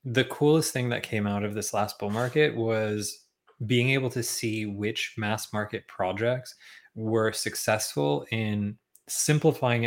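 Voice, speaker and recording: A young man talking as a guest on a podcast, relaxed, with lots of ums and likes and frequent chuckles. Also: lowish voice. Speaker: podcast guest, tech founder. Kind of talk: casual interview chat.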